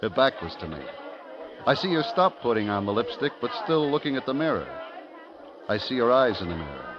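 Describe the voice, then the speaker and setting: A man's voice: on the low side, lightly acted. An actor playing a restless young American store clerk, telling his story in colloquial 1950s radio drama style. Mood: hushed, entranced. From eastern United States, New York. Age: young adult. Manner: colloquial, slangy, confiding. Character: restless young male liquor clerk, smitten, streetwise narrator. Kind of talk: 1950s radio drama acting, first-person narration. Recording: muffled 1950s radio recording.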